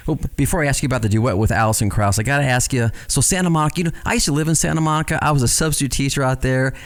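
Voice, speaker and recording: A man's voice: medium pitch, racing. A man interviewing a guest in a casual, enthusiastic, fannish way.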